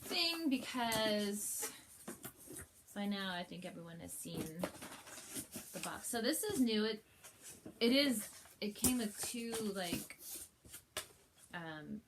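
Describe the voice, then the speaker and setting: A woman with a cold, sniffly, chatting casually through a planner unboxing at home while filming on her phone, rambling with fillers and soft laughs. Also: mid-range voice, background noise. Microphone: phone held while filming.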